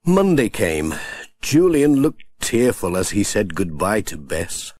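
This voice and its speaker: A man reading a children's storybook aloud with gentle, expressive storytelling. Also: lowish voice, slow. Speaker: audiobook narrator.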